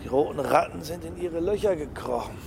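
A man playing a gruff, chummy police inspector and war veteran in a radio drama, hearty and coarse like a storyteller. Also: fairly high pitch, animated, fairly quick. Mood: chummy storytelling. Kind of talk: German radio drama acting. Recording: clear outdoor street noise.

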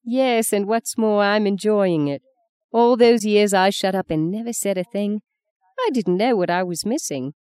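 A woman voicing a sharp-tongued, strict grandmother in an audiobook, with a tart, commanding way of talking. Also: medium pitch, normal speed, clear, resonant tone, no echo, voice pushed up, natural voice. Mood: tart, relishing. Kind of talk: audiobook character reading.

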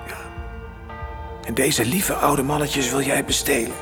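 An actor playing an anxious, wry middle-aged former minister in a radio drama, fretful and sardonic. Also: male voice, medium pitch, steady tone, measured pace, music in the background, natural voice. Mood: wry, uneasy.

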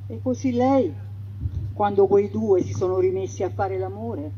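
A woman narrating a stage story in a theatrical, emphatic way, swinging between loud passages and hushed ones, heard through a radio broadcast. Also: mid-range voice, measured pace, muffled recording, a little hiss or hum.

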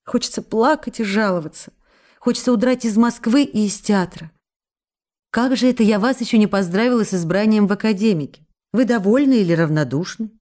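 A woman's voice: medium pitch, brisk, darkish tone, close to an everyday voice. A woman playing an affectionate, restless actress who reads her love letters aloud in a coquettish, pleading, emotive way for a radio play. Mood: hurt, pleading. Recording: radio broadcast with music behind. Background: music.

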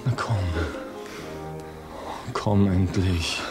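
A dreamy young lover in a radio play, slow and soft, music behind. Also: male voice, low voice, steady tone. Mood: tender. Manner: tender, wooing. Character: young man newly awakened, smitten lover. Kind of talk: radio drama acting. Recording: music under the voice.

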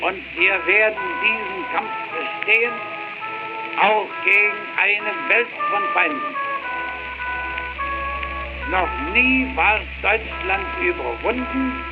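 An actor playing a solemn German emperor, declaiming a wartime proclamation in rhetorical style. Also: male voice, sits high, animated, slow, long pauses, full character voice. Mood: solemn, defiant. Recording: dull old-radio sound under loud music.

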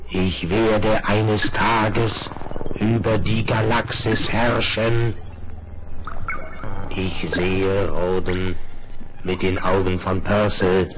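A man's voice: sits low, poor audio. A man playing a megalomaniac alien plasma intelligence in a 1970s radio play, declaiming solemnly with menace. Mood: cold, triumphant. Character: megalomaniac alien plasma hive-mind, cold and triumphant.

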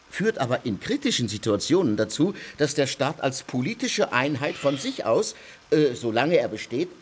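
A man's voice: mid-range voice, slightly rough. An actor playing a cold, doctrinaire legal theorist in a radio play, lecturing his fellow councillors. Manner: lecturing, dry scornful laughs.

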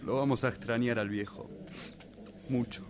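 Traffic noise surrounds an Argentine man's voice at an average pitch. He is a man acting a grieving, obsessive son in a radio play, insistent and suspicious as he reasons aloud. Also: steady tone, normal speed, close to an everyday voice. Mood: troubled, doubtful. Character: grieving, suspicious son obsessively investigating his father's death. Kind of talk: Spanish-language radio drama acting. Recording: clear street and traffic noise.

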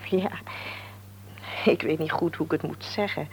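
An actress playing a devout, gentle older mother in a radio drama, speaking earnestly and haltingly as she searches for words. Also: deep voice, fairly even intonation, a little hiss or hum. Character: devout, gentle old mother counselling her stubborn son.

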